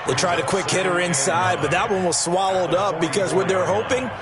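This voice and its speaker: A man's voice: high-pitched, brisk, natural voice. An American man giving conversational, explanatory color commentary as a football broadcast analyst. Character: chatty male TV football analyst. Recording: game audio under heavy crowd and noise. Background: loud crowd and noise.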